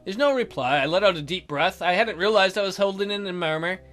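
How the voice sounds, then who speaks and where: A woman's voice: medium pitch, quick pace, a bit bright. A woman hosting a let's play, reading a game's narration aloud with casual commentary. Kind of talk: animated game read-aloud with casual commentary. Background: music.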